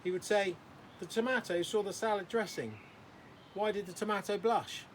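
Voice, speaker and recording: A man's voice: sits high, normal speed, a little hiss or hum, voice pushed up, natural voice. A man voicing a posh, sarcastic young boy in a children's storybook, with a dry manner. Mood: scornful. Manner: dry, sardonic.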